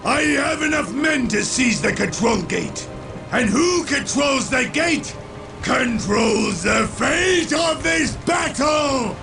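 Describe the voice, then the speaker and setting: A menacing Chaos warlord for a video game. He rasps his threats slowly at a high, strained pitch, theatrical and power-hungry, over music. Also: lively intonation, exaggerated voice. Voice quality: harsh, gravelly snarl. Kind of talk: video game cutscene acting.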